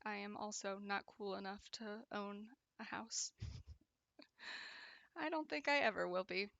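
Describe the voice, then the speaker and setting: A woman's voice: mid-range voice, lively intonation, a little slow, creaky, fairly bright tone, no room sound. A young woman streaming her crochet work, chatting casually with viewers and laughing often. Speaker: craft streamer. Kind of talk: casual chat while crocheting and reading chat.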